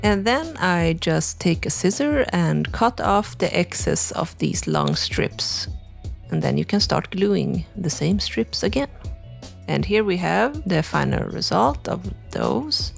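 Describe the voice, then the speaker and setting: A young woman, hosting a crafting channel and narrating a tutorial in a relaxed, chatty way. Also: lowish voice, slow, gravelly, faint music behind. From Sweden. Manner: relaxed, chatty explaining with frequent "like" and "you know".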